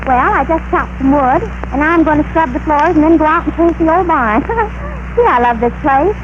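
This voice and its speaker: Naive farm daughter, sweet, innocent, high voice, radio comedy, muffled hissy broadcast. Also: female voice, lively intonation, moderate pace. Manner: sweet, innocent comic lines.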